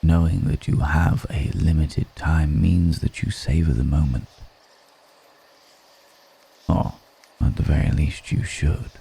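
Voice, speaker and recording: An extremely low-voiced ancient male vampire, acted by a man for an ASMR roleplay, with a gravelly creak in his voice. He is world-weary yet seductive, brooding unhurriedly in a dark, mellow tone. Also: fairly even intonation, full character voice. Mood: weary, wistful. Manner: slow, deliberate, brooding, with long pauses. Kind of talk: intimate ASMR roleplay monologue.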